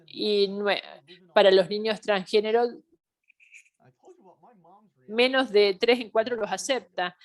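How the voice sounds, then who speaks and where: Woman interpreting a lecture, halting pauses. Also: medium pitch, fairly even intonation, rough recording. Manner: halting delivery with pauses and restarts. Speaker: simultaneous interpreter. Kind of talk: interpreting a health training webinar.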